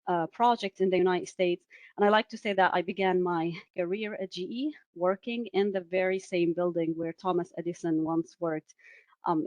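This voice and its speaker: A woman in her forties, from Syria, presenting a webinar in a measured way over a video call, with frequent "uh" and "um" fillers. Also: on the low side, darkish tone, no room sound. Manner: measured, many "uh" and "um" fillers, asks for next slide.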